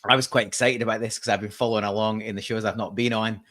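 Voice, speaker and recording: A man's voice: lowish voice, fairly even intonation, very fast, pushed and bright. Chatty man in his forties hosting. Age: 40s. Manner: chatty, jokey, lots of fillers. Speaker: podcast host. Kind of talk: casual chat.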